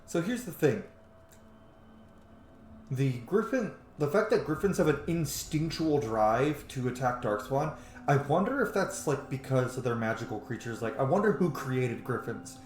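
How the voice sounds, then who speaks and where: A man doing casual let's play commentary on a video game, chatty and excitable, with plenty of swearing. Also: mid-range voice, normal speed. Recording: echoey room with game music behind.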